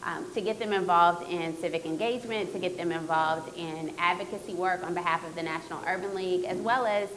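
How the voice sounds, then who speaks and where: A woman with a lowish voice speaks earnestly and steadily on a panel. Faint noise sits behind her. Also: brisk, hardly any pauses, a bit bright, rough recording. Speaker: panelist, organization president. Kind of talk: panel self-introduction.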